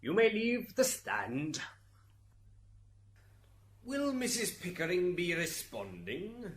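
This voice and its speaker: A man's voice: high-pitched, huge pitch swings, slow pace, a little hiss or hum, full character voice. A man playing a stern, impatient heavenly judge in an amateur radio drama. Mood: authoritative. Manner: authoritative, stern.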